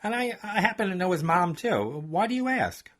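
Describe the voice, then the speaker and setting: A man doing a high, sing-song voice for a chatty woman with a gossipy, friendly manner. The pitch rises and falls freely as she passes along what she knows. It sounds like a storyteller's put-on female voice. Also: sing-song, moderate pace, a bit bright. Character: friendly chatty middle-aged woman, office worker.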